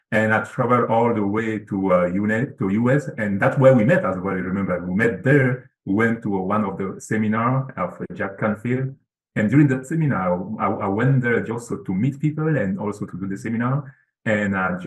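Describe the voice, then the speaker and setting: Low-voiced guy from cameroon chatting earnestly, lots of uh and repeats, echoey room. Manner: earnest, repeats himself, many fillers. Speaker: guest author. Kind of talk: casual interview talk.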